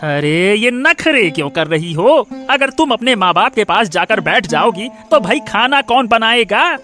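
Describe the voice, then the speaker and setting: A man's voice: sits high, big pitch swings, a little slow, pushed and bright, faint music behind, full character voice. A man voicing a greedy, cruel village shopkeeper and husband in a cartoon story, scolding and threatening. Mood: irritated. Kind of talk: Hindi moral-story cartoon dialogue.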